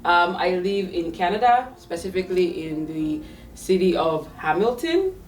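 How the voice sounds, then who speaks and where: A woman's voice: lowish voice, a little slow, recorded from a distance. Nigerian woman speaking from afar in an echoey room. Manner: animated storytelling with fillers and restarts. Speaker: tech career coach, guest. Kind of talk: conversational interview. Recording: echoey room, away from the mic.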